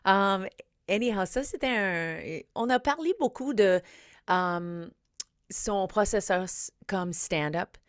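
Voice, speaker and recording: A woman's voice: medium pitch, animated, slow pace, clear, resonant tone, dry recording. A woman, a native English speaker, hosting a podcast from a studio and chatting casually with frequent fillers and slips into English. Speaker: podcast host. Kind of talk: casual podcast intro and interview.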